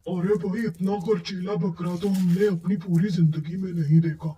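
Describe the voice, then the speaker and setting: Man acting fretful cartoon family man, high voice, soft dark tone, grumbling. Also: fairly even intonation, moderate pace, slightly rough. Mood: grumbling, amazed. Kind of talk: cartoon story dialogue.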